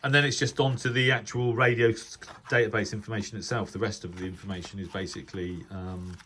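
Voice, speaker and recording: A man's voice: lowish voice, steady tone, fast, a bit bright. A man giving casual, informal hobbyist commentary, thinking aloud and correcting himself as he rambles through explanations. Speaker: radio hobbyist vlogger. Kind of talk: casual informal commentary, reviewing a reference book.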